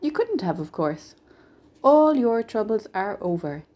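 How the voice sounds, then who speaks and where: A woman's voice: medium pitch, expressive, normal speed, warm tone, highs cut off, natural voice. A woman voicing a kindly, mysterious little male peddler in a storybook, patient, coaxing and cheerfully reassuring. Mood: delighted.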